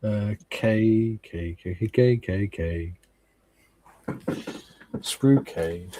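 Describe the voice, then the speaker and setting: A man's voice: lowish voice, extremely slow, mellow, slight background noise. A man from Wales chatting casually on a live modelling stream, wry and dry, reading out viewer comments as he builds.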